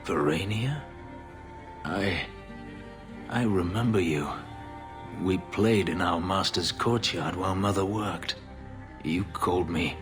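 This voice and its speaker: An actor playing a brooding, embittered elf warrior who once was a slave, speaking in a grave, measured, intense way. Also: male voice, low voice, over music. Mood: earnest, uneasy.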